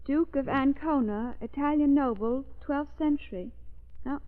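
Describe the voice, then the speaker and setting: A woman playing a curious, quick young female companion in a 1930s radio drama, asking eager questions. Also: high voice. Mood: inquisitive. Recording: thin, tinny old radio broadcast.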